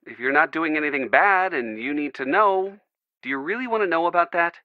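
An actor playing a caring, loyal young American boyfriend in a video game, earnest and gently wry. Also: male voice, on the high side, animated, a little fast, no echo, narrow, tinny audio, close to an everyday voice. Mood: earnest, concerned. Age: young adult. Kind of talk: video game dialogue, phone conversation.

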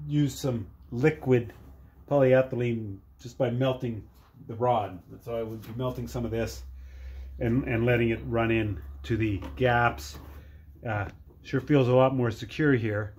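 Middle-aged male DIY maker, mid-pitched, casual and halting delivery while working, light workshop noise on a camera mic. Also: fairly even intonation, a little mellow. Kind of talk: casual hands-on workshop demonstration. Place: cluttered workshop with dirt floor.

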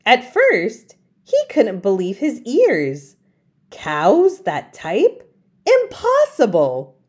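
Animated, slow-paced woman reading storybooks. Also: fairly high pitch, breathy edge. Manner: deliberate, emphatic phrasing. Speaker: children's storytime host and reader. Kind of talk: expressive children's storybook read-aloud.

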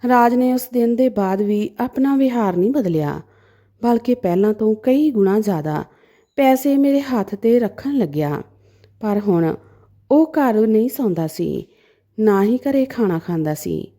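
A woman's voice: fairly high pitch, expressive, measured pace, warm tone. A woman narrating a dramatic story in the first person as a storyteller.

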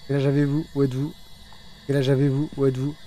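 A man's voice: medium pitch, flat delivery, fast talker, mellow, a little hiss or hum. A man streaming a ghost-hunting game live, giving urgent, repetitive directions to his teammates. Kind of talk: live gaming commentary.

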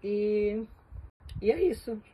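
A man's voice: high voice, lively intonation, slow, husky, lo-fi sound. A man in his thirties, a guest collaborator giving a friendly, upbeat chat, recorded on a phone camera outdoors in a garden. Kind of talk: casual video greeting. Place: sunny back garden outdoors.

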